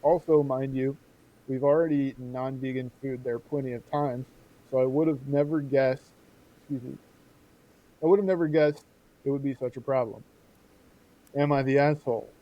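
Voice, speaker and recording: A man's voice: mid-range voice, fairly even intonation, normal speed, pauses often, lo-fi sound. A man co-hosting a podcast in an informal, conversational way. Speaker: podcast co-host. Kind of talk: casual chat and reading posts aloud.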